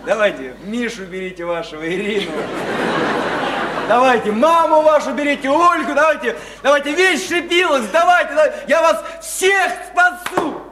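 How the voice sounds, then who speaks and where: A man's voice: extremely high pitch, moderate pace. An actor in a radio play voicing an exasperated, sardonic man who repeats himself in agitated mockery. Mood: bitter mockery. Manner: agitated, mocking, repetitive. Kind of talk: theatrical Russian radio play acting. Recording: noisy radio play recording.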